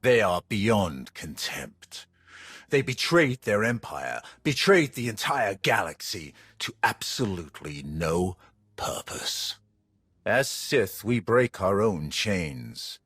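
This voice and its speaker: Very deep, husky, grim Sith warrior. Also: male voice, a bit bright, no echo, full character voice. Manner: slow, menacing, formal. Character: grim, ancient male Sith warrior, contemptuous and ruthless. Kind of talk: dramatic video game voice acting.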